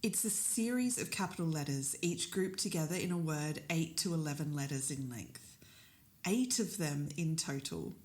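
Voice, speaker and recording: An actress playing an eager amateur podcast co-host, talking casually and haltingly as she works through clues. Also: low voice, expressive, darkish tone. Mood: explaining.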